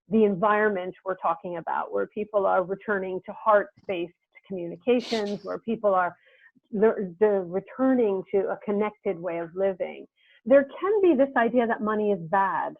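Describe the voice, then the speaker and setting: A woman's voice: medium pitch, moderate pace, no room sound. A woman hosting a video-call interview, earnest and reflective, speaking in long winding thoughts. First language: English. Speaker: interviewer and host. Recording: dry tinny phone-like video-call audio.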